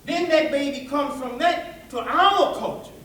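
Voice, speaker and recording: A man preaching an animated, folksy sermon to listeners, tossing out rhetorical questions and colloquial asides. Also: very high-pitched, unhurried, slight background noise. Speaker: preacher giving a public religious address. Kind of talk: animated sermon with call-and-response to a live audience. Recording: echoey hall, distant microphone.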